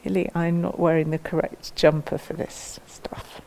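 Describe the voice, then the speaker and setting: Female meditation and movement teacher, low voice, gentle and unhurried guidance, leaves space between phrases. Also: little pitch movement, creaky, a little hiss or hum, rough recording. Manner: gentle, unhurried, inviting, with pauses. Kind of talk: guided mindful movement instruction.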